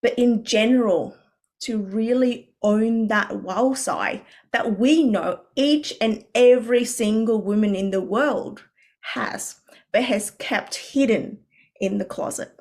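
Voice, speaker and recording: A woman's voice: fairly high pitch, slow pace, a little mellow. A woman from Vietnam, guest on a podcast as a coach, talking in an earnest, reflective way with many fillers and restarts. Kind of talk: podcast interview.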